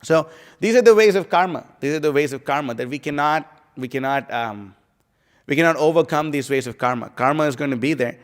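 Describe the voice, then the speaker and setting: A man's voice: fairly high pitch, a little fast. Man giving a rambling casual scripture class. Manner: casual, rambling, many fillers like "you know" and "like". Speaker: class speaker. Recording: live stream in a reverberant hall.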